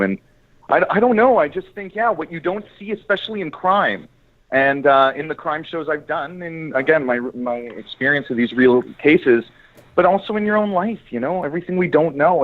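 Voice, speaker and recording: A man's voice: on the high side, phone-quality sound. A man chatting casually as an interviewed screenwriter, talking in an effusive, emphatic, rambling way.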